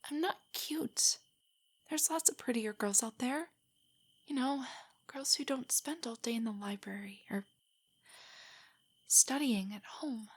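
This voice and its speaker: A woman playing a shy, nerdy young girlfriend in an intimate audio roleplay, soft and breathy, stammering with nerves. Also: a little high, unhurried, pauses often. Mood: flustered, embarrassed. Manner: nervous, stammering, hesitant rambling. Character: shy, nerdy, easily flustered young adult girlfriend on a date.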